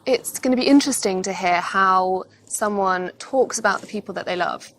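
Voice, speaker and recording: A woman's voice: mid-range voice, moderate pace, a bit bright. A woman giving her opinions as a commentator in casual, conversational speech. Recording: clear background noise and room ambience. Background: ambient noise.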